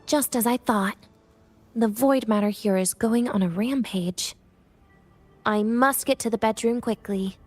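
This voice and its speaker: A woman playing a wistful, softly teasing young heroine in a video game, speaking in a soft, breathy, unhurried way. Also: on the high side, faint music behind. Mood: urgent resolve. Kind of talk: video game character acting.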